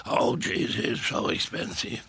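A man's voice: unhurried, bright, forceful tone. A man in his seventies, an actor telling rambling stories in a casual interview and laughing at his own jokes. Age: 70s. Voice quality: gravelly, husky. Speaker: actor guest.

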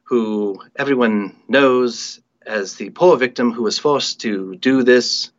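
A man's voice: medium pitch, takes it slowly, no echo, dull audio, natural voice. A man voicing a suave, charismatic butler from a radio play in an old film-star manner. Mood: suave, lamenting.

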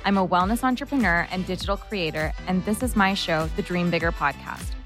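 Woman host, scripted show intro, lowish voice, breezy upbeat read, soft music under. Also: fairly quick. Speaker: podcast host.

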